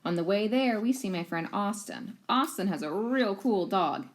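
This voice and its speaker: A woman reading a children's storybook aloud in an expressive teacher's style. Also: medium pitch, moderate pace.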